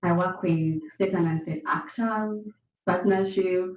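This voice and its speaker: A woman from Uganda, an advocacy officer, introducing herself on a panel in a clear, direct way. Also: lowish voice, steady tone, measured pace, slight background noise. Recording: distant echoey room, dull.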